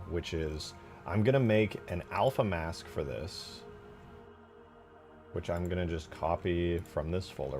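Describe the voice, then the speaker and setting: A man with a low voice talks through his work as a game modder in a casual stream. He mutters to himself in informal slang, thinking aloud. Music plays clearly behind him. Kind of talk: casual thinking-aloud commentary while working.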